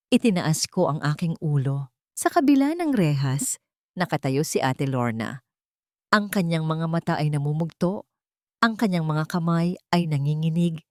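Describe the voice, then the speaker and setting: A woman narrates a dramatic first-person story. Her voice sits on the low side and she delivers the script in measured, short phrases. The recording is close and dry, with very little room sound. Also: no room sound.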